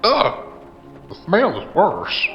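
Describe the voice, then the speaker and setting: A cranky, nosy old codger from a noir audio drama. His voice is high and jumps around animatedly, with folksy old-timer phrasing. It sounds slightly muffled in an echoey room, with music behind. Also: huge pitch swings, slow, full character voice. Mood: gruff, curious. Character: nosy old man neighbor with a shotgun, cranky.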